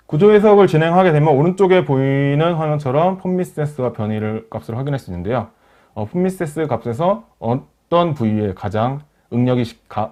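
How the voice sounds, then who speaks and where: A man narrating a software tutorial as a webinar instructor, explaining each step in a polite, formal way. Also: medium pitch. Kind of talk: software tutorial narration over screen demo. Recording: dull lo-fi voice recording.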